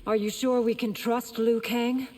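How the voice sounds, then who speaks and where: An actress playing a loyal, composed young princess in a video game, speaking with earnest poise. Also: female voice, a little high, flat delivery, measured pace, mellow, long reverb tail, faint music behind, lightly acted. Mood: composed, dutiful. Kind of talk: dramatic video game dialogue.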